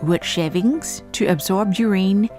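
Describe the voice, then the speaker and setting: A woman reading a calm, instructive scripted narration. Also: medium pitch, a little slow, faint music behind.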